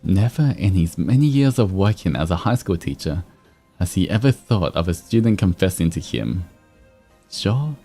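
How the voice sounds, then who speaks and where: A man's voice: low voice, husky. A man hosting a livestream, moving between reading fan fiction and chatting casually with viewers. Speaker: VTuber streamer host. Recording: soft music behind. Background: background music.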